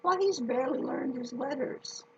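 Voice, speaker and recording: A woman reading a children's story aloud in a folksy, deliberate manner, speaking directly to her listeners. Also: high voice, darkish tone, lo-fi sound, a little hiss or hum.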